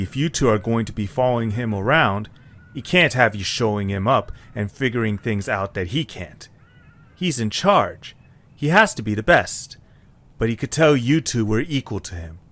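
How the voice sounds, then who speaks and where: A man's voice: medium pitch, expressive, moderate pace, a bit bright, close to an everyday voice. A man acting an earnest, encouraging young male character in a scripted audio story, speaking conversationally. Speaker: narrator and voice of the main character.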